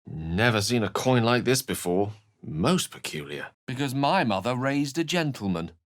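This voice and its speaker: A man's voice: mid-range voice, expressive, normal speed, no echo, studio quality, lightly acted. A man playing a refined, eloquent wizard in a video game, courteous and wry, with articulate, formal and theatrical speech. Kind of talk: video game voice lines and narration.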